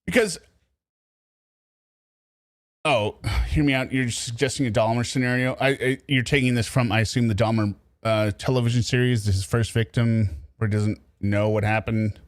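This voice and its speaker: A man hosting casual true crime commentary, animated and riffing, laughing as he goes. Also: medium pitch, normal speed, no echo. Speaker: commentary host.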